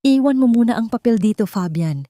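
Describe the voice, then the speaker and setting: A woman narrator plays a frail elderly mother in an audio story. She is weary and guarded, pleading in a raised pitch. The sound is close and dry. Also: expressive, fairly quick, dark tone, no echo, close to an everyday voice. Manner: faint, wavering pleading.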